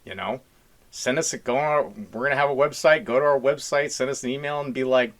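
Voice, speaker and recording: A man's voice: medium pitch, quick pace, a bit bright. A man co-hosting a podcast in casual, joking chat, rambling along in a folksy way. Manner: rambling, folksy y'all talk. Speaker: podcast co-host.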